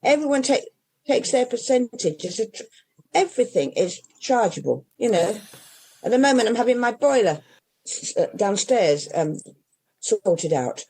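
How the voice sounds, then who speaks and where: An older woman from England talks casually about her bookshop, her mellow, warm voice wandering through half-finished phrases and asides. She restarts often and drifts from one point to the next. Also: on the high side, very animated, normal speed, slightly rough. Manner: rambling, with many restarts and trailing asides. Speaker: independent bookshop owner. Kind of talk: casual interview chat.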